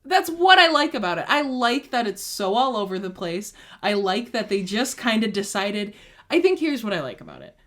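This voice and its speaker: A woman co-hosting a casual podcast chat, enthusiastic and opinionated, breaking into excited bursts. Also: mid-range voice, normal speed. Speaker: podcast co-host.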